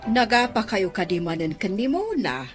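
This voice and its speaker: A woman's voice: mid-range voice, lively intonation, moderate pace, soft background music, natural voice. A woman playing a stern older mother in a radio drama, who chides and lectures her son. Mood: chiding.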